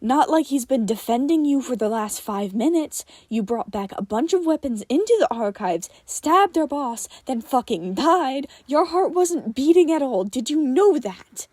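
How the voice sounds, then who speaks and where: Woman acting out a furious, scornful, high-voiced man. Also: animated, measured pace, hardly any pauses, no echo, studio quality. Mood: scornful fury. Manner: scoffing, forceful, emphatic. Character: angry, sarcastic, scornful man lashing out at colleagues.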